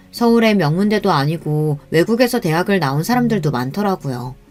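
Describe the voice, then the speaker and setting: Calm woman narrating a personal story. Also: on the low side. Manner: calm, measured, confessional.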